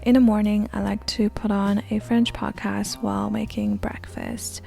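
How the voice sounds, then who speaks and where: A young woman in her twenties narrates a voice-over in a soft, hushed, almost whispered tone. Her mid-pitched voice has a gravelly fry at the phrase ends, and light music plays faintly underneath. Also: flat delivery, moderate pace. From Canada. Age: 20s. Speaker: language learning vlogger.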